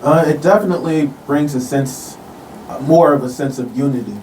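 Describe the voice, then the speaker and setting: A man's voice: on the high side, moderate pace, darkish tone, distant mic. Man in his forties, casual interview guest, echoey room, slightly off-mic, faint background noise. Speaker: musician guest.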